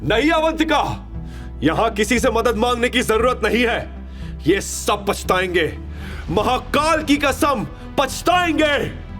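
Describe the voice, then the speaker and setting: A man acts out a wealthy company chairman in an audio drama. He is proud and serious, insisting with assurance and a defiant streak. Lively intonation sits over a musical background. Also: very high-pitched, slow pace, faint music behind, pitched above the performer's own voice, heavily acted. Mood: angry defiance. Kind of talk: audio drama character acting.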